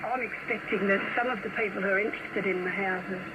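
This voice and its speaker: A woman's voice: medium pitch, fairly even intonation, fast. A woman being interviewed on the radio, speaking in a thoughtful, hesitant way. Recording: very noisy shortwave radio reception. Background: loud radio static and noise.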